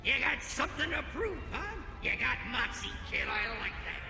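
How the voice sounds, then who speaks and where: An actor playing a wisecracking, fast-talking alien greeter at an arena fight night in a video game, with jokey, teasing patter. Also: male voice, very high voice, big pitch swings, vocal fry, roomy sound, big-room sound, over music, exaggerated voice. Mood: brash, teasing. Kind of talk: video game cutscene acting.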